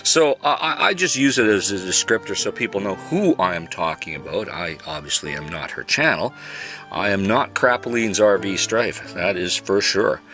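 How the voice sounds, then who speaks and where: Man from Canada, lower voice, bright and forceful, mocking commentary, faint music behind. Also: expressive, moderate pace. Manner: sarcastic, chuckling, mock-polite. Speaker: commentary channel host.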